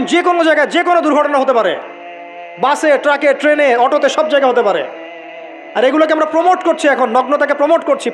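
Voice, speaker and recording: A man's voice: very high-pitched, fairly bright tone. A Bangladeshi man preaching an Islamic sermon, emphatic, repeating key phrases and putting rhetorical questions to his listeners. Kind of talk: waz sermon to an audience. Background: music.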